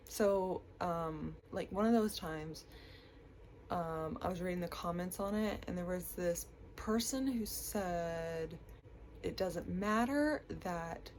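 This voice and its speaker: Young woman w a stuffed up nose, rambly vlog chat, kinda low voice, real slow. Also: a little mellow, a little hiss or hum. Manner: chatty, rambling with many likes and ums. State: stuffy, congested from a cold. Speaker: vlogger. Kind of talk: casual chat to camera.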